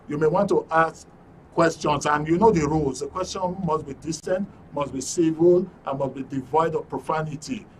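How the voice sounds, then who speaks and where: Man, Nigerian accent, talk show host, deliberate announcing, fairly high pitch, slightly distant mic, faint background noise. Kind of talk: live studio talk show hosting. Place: radio and TV studio.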